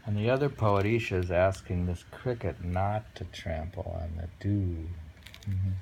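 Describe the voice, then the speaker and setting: A man reading short poems aloud and chatting about them casually, musing and pausing over the lines. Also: deep voice, fairly even intonation, creaky, a little mellow, a little hiss or hum, poor audio. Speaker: poetry reader and host. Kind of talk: casual poetry reading and chat.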